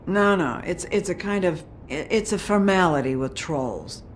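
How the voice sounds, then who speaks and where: A woman's voice: on the low side, animated, normal speed, a little hiss or hum, lightly acted. An actress playing a grieving, foul-mouthed troll woman who runs a bar, blunt and guarded in a dramatic video game. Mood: shaken. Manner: blunt, bitter, guarded, swearing.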